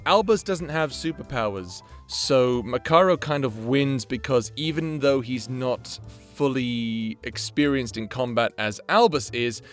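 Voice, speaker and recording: A man's voice: mid-range voice, a little slow, hardly any pauses, faint music behind. A man hosting a channel with casual, sweary, rambling commentary in an informal, chatty way. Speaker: creator and host of a fan-facing channel.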